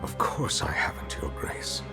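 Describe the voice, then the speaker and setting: An actor playing a solemn, formal envoy of a queen in a fantasy game, eloquent and measured. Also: close to an everyday voice. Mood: urgent pleading. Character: solemn, courtly male envoy of a queen, steely beneath courtesy. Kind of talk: cinematic fantasy game acting. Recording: orchestral music behind.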